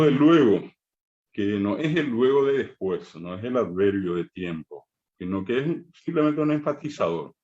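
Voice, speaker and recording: A middle-aged man from Paraguay with a Paraguayan Spanish accent, a native Guarani speaker, giving a measured, emphatic conference talk with frequent hesitations. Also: fairly low pitch, a little mellow, dull audio.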